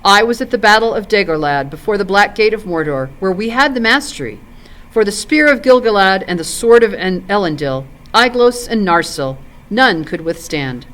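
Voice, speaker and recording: A woman's voice: mid-range voice, lively intonation, moderate pace, a bit bright, natural voice, low-quality recording. A woman reading the part of a grave, ancient male elf lord in a storybook, with solemn, stately, archaic phrasing. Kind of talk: storybook character in read-aloud.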